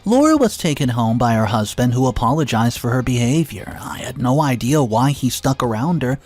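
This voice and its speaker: A man narrates a first-person story in a calm, steady way, his voice sitting at an ordinary middle pitch. He reads at an unhurried pace and slips in a filler now and then. Soft music plays faintly underneath. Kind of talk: reading a first-person drama story aloud.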